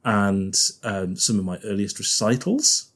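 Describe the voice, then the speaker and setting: Husky Liverpudlian man, chatty. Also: on the low side, expressive, unhurried. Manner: chatty, laughs often, thinks aloud with restarts. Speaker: guest organist. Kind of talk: casual podcast chat.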